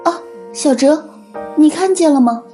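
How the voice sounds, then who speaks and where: A woman acting a hardworking, tired teenage older sister in an audio drama, gentle and earnest. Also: high voice, unhurried, music in the background. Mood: tender, coaxing.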